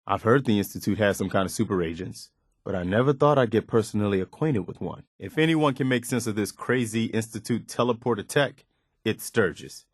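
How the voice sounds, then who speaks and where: A man's voice: on the low side, animated, brisk, dry recording, studio quality. A man playing an earnest, idealistic and loyal militia soldier in a video game, speaking plainly and conversationally. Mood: earnest, thoughtful. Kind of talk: video game companion dialogue, naturalistic acting.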